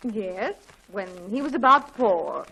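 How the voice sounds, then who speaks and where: A woman's voice: medium pitch, big pitch swings, takes it slowly, creaky, bright, forceful tone, breathy edge, full character voice, low-quality recording. A middle-aged woman acting a mild, chatty mother with an American accent, genteel and a little flustered, in a 1940s radio sitcom. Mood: mildly flustered.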